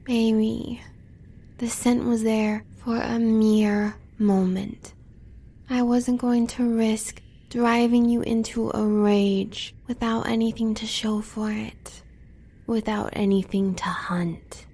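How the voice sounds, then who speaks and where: An American woman playing a sly, devoted werewolf huntress in an audio roleplay, teasing and breathy. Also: on the high side, level tone, mellow. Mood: pleading. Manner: teasing, breathy, drawn-out delivery.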